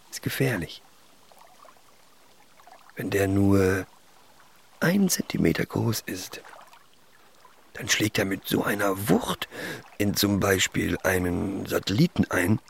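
A man playing a reticent, secretly poetic teenage boy in a naturalistic radio play, talking in a quiet, hesitant, thoughtful way. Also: low-pitched, creaky, husky, heavily acted.

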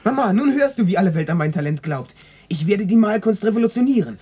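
Husky, cheeky schoolboy. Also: female voice, medium pitch, quick pace. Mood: cheeky, boastful. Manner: glib, mock-formal, lively. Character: cheeky schoolboy, precocious, boastful charmer. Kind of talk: German literary radio play acting.

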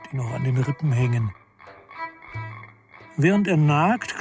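Male narrator, played by an actor, radio drama, medium pitch, measured, detached, scripted storytelling, faint music. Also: close to an everyday voice. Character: detached literary narrator of an Arctic tale.

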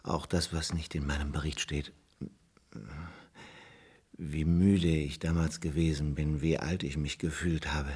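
An actor playing a weary old former police officer in a radio play, recalling his past haltingly and pensively. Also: a bass voice, flat delivery, a little mellow, slightly rough, exaggerated voice. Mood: weary, nostalgic. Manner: halting, slow, pensive recollection. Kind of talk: German radio play acting.

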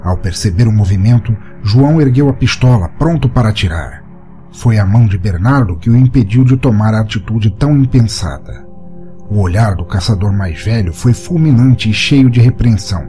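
Mellow male story narrator over music. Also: on the low side, animated, moderate pace. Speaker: podcast narrator. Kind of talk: scripted short-story narration. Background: music under the voice.

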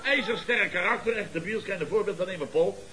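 A man acting a pompous, excitable middle-aged boss of a building firm in an old radio comedy, exclaiming and repeating himself. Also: high voice, fast talker, crowd noise, natural voice, rough recording. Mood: boastful, indignant. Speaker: comic actor in a radio comedy series. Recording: old radio recording with studio audience.

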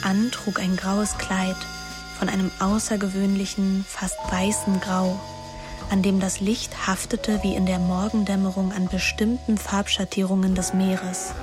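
An actress playing a young daughter who narrates a literary radio drama, observant and defiant. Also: female voice, mid-range voice, little pitch movement, measured pace, music in the background, lightly acted. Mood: reflective.

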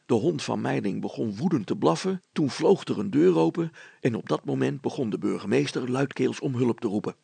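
A male storyteller reads a storybook narrative in a heavily creaky, husky voice. The sound is close and dry, with hardly any room around it. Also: mid-range voice, animated, a little fast, dark tone, no room sound.

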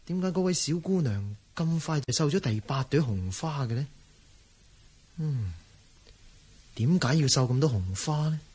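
An actor playing a curious, courteous wandering gentleman swordsman in a radio drama, theatrical in delivery. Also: mid-range voice, slightly rough. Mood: pondering, resolute. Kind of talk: Cantonese radio drama acting.

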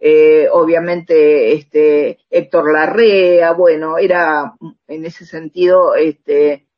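A woman's voice: sits low, fairly even intonation, drawn-out words, dull audio. An older woman with an Argentine accent reminiscing casually as an interview guest, searching hesitantly for her words. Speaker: interview guest, radio drama writer.

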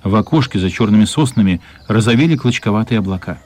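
A man's voice: lowish voice, animated, fast talker, a little mellow. A man narrating a literary radio play as a scripted storyteller.

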